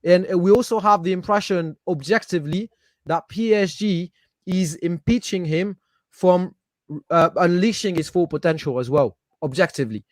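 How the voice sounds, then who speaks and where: A high-voiced man with a French accent, raised in Paris, arguing his side in a casual podcast chat. He talks with passion and stumbles over repeated words as he hunts for the right phrase. The recording is close and dry, with no room sound. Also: normal speed, dry recording. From Paris, France. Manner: passionate, repeats words while searching for them. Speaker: guest, football fan.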